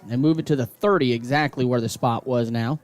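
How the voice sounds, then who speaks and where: A man calling high school football play-by-play on radio, building to excited calls on big plays. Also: a little fast. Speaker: play-by-play announcer.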